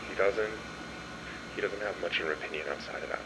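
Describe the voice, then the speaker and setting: A man playing an evasive, cautious music label executive in a radio play, halting and appeasing in corporate talk. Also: deep voice, background noise, natural voice. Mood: placating. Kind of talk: naturalistic radio play acting. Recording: music behind.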